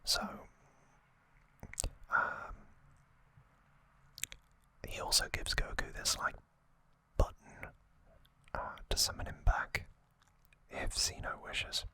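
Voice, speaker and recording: Slow, breathy ASMR whisperer. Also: bright, forceful tone. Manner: slow, halting delivery in short phrases. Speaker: host of an ASMR channel. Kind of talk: whispered ASMR, informal episode recap.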